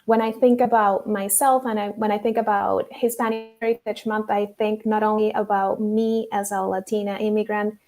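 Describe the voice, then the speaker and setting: A woman's voice: medium pitch, little pitch movement, normal speed, hardly any pauses, a little mellow. A woman from Venezuela, a mental health counselor, speaking thoughtfully as a podcast guest. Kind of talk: casual interview talk.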